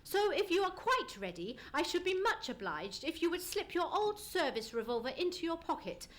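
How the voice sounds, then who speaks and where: A woman playing a shrewd, assured gentleman detective, formal and precise, in a live radio play. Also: extremely high pitch, very animated, normal speed, exaggerated voice. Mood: brisk, serious. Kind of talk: live old-time radio play acting.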